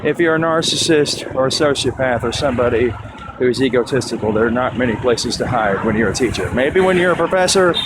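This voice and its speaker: A man's voice: mid-range voice, expressive, brisk. A man from Texas talking casually as he walks down a city street, rambling and thinking aloud. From Texas, USA. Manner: chatty, rambling, thinking aloud while walking. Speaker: vlogger; teacher. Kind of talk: casual walking vlog. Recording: loud traffic noise behind. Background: loud street traffic and motorbikes.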